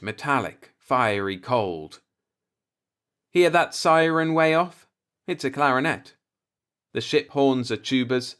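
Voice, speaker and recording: A man's voice: medium pitch, fairly bright tone, clean tone. A man reading the part of a brooding, disillusioned professor in an audiobook, musing in a pointed, rhetorical monologue. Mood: sardonic wonder. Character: brooding, disillusioned male professor philosophizing bitterly.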